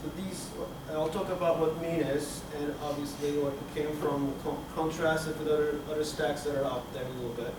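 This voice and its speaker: A middle-aged man from Turkey giving a technical conference talk, halting with many fillers and restarts, recorded from the back of a conference room. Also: on the high side, steady tone. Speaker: conference speaker. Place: conference room with projector screen. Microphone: room camera from the back of the hall. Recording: echoey hall, speaker far from mic, room noise.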